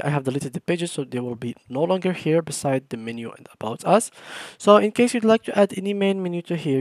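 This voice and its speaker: A man walking viewers step by step through a software tutorial over a screen recording, in plain instructing speech with slightly non-native phrasing. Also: on the high side, no echo, clean studio sound. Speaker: tutorial host.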